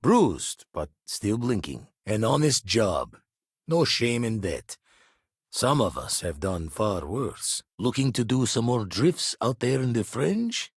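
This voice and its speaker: Game role: seasoned ex-pirate space explorer, gruff and wry, rough husky tone, very animated inflection, slangy turns of phrase. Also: male voice, fairly low pitch, slow, no room sound, studio quality, full character voice. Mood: wry, reflective. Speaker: video game voice actor. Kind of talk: scripted game character dialogue.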